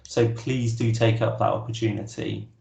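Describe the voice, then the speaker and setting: A man's voice: medium pitch, steady tone, normal speed, creaky, darkish tone. A man presenting an online workshop, answering questions casually with frequent restarts. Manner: casual, hesitant, many restarts. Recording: roomy echo.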